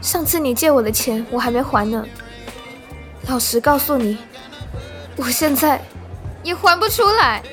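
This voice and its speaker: Troubled young daughter, proud and fragile, high-pitched, radio drama, faint music. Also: female voice, expressive, a little slow, long pauses, fairly bright tone. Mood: guarded, blunt. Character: troubled young woman, proud, distraught daughter of an injured father. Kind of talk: modern Mandarin audio drama acting.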